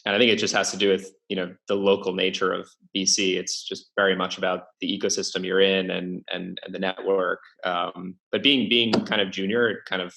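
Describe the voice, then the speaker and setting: Young male guest, low and gravelly, halting with lots of ums. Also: flat delivery, sharp tone. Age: young adult. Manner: hesitant, many fillers and restarts. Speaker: podcast guest, venture investor. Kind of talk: casual interview talk.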